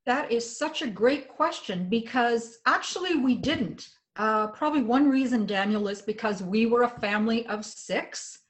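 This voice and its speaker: An older woman from Canada with a Canadian accent, a guest on a podcast, telling stories from her past in a relaxed conversational way and remembering dates precisely. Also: a little high, moderate pace, hardly any pauses, rough recording. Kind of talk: casual conversation.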